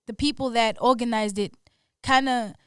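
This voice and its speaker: A woman's voice: a little high, normal speed, smooth, no echo, clean studio sound. A young woman, chatting casually as a guest on a podcast, easygoing and full of fillers. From South Africa. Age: young adult. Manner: relaxed, trailing, filler-heavy. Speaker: guest musician.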